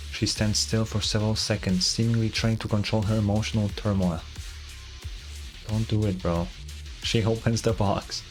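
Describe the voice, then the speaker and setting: Low-voiced man reading a game story aloud. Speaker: video game streamer. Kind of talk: reading game narration aloud with casual asides. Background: game music.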